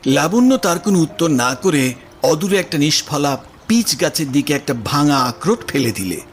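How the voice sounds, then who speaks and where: A man narrating a literary story in an expressive, scripted audio drama style. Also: a little high, normal speed. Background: music.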